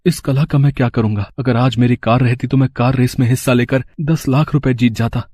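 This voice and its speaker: A man playing an earnest, humble young mechanic husband in an animated moral story, speaking with open emotion. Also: mid-range voice, brisk, breathy edge, no echo, studio quality, close to an everyday voice. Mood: dejected.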